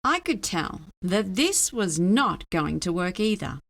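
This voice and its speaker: A woman narrating a children's story aloud in a dramatic, expressive way. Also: mid-range voice, takes it slowly, vocal fry. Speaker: storybook reader.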